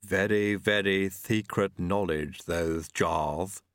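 A man doing a slow, secretive lab servant. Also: deep voice, steady tone, a bit bright, no room sound, studio quality. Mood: guarded eager. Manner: slow, deliberate and guarded. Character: devoted, secretive male servant, eager about sparks.

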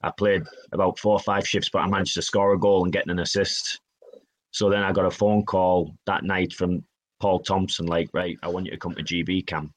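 A man, telling stories from his career in a relaxed interview, often acting out what others said to him. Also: low-pitched, moderate pace. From Northeast England. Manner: chatty, self-deprecating, quotes others in dialogue. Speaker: guest, hockey player. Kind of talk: casual interview storytelling.

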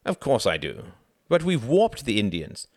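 A reserved, sceptical graduate student from a storybook, played by a male narrator. He is terse, dry and guarded, with an irritable edge and a mid-pitched voice. The sound is close and dry. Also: wildly swooping pitch, a little slow, no echo, heavily acted. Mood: dry, doubtful.

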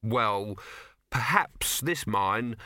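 Man doing a harried peacemaker guy in an audiobook, flustered and hesitant, bright lively voice. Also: fairly low pitch, extremely slow, no room sound, studio quality, full character voice. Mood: nervous, placating. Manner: hesitant, anxious to smooth things over. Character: harried, placating man caught in the middle.

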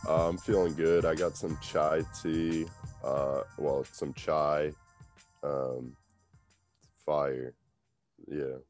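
Very deep, slow-talking stuffy young man. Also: flat delivery, darkish tone, faint music behind. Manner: laid-back, dry humor, short replies. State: stuffy, voice a bit rough. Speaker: podcast co-host. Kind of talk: casual sports podcast chat.

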